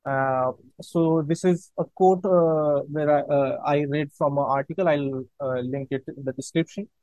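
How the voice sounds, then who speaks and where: A man's voice: a little high, fairly even intonation, a little slow, darkish tone, no room sound, low-quality recording. A young man from India discussing economics on a student podcast, hesitant and full of restarts. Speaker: podcast participant. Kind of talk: academic discussion.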